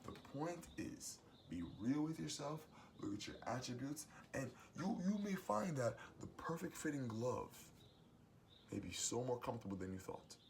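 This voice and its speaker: A young adult man with a booming voice gives a preachy, emphatic motivational monologue as host of his own series. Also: very animated, normal speed, creaky, slight background noise, low-quality recording. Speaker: host giving advice monologue.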